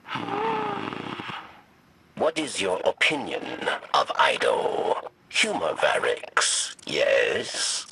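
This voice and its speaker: An actor playing an old, weary, sly insect-like alien scribe in a video game, rasping and halting, with questioning tags. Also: male voice, lowish voice, huge pitch swings, background noise, full character voice. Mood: probing. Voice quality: gravelly, rasping. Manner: halting, third-person speech, questioning "yes?" tags. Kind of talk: dramatic video game acting.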